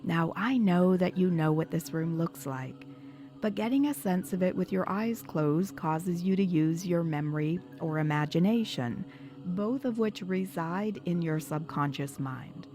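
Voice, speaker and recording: Low-voiced woman guiding hypnosis over music. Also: warm tone. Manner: soothing, measured, instructional. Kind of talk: guided meditation hypnosis narration. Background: background music.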